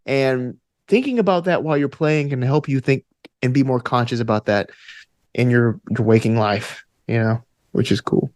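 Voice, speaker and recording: A man with a mid-pitched voice talks as a guest in a reflective interview. He is earnest and encouraging, circling back to repeat phrases for emphasis. The sound is close and dry. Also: normal speed, a little mellow, dry recording. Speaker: podcast guest, actor and game master. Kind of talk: casual, reflective interview conversation.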